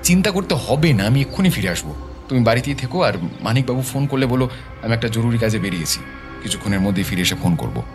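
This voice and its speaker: A man's voice: medium pitch, sing-song, quick pace, over music. A man acting a troubled, earnest male private detective in an audio story, speaking with urgency. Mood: hurried, reassuring.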